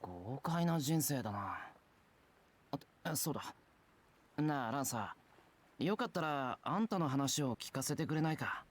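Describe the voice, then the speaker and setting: A man's voice: medium pitch, expressive, fairly quick, long pauses, lightly acted. An actor playing an earnest, straightforward young man in a visual novel, sincere and politely curious. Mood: friendly curiosity. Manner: sincere, plain-spoken. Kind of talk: Japanese visual novel voice acting.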